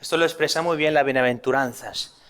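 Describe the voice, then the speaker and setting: A priest from Spain with a Castilian Spanish accent delivers a sermon, his voice sitting a little high for a man. He teaches earnestly and poses rhetorical questions to his listeners. The sound carries a long reverb, as if in a large church hall. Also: fairly even intonation, fairly quick, fairly bright tone, clean tone.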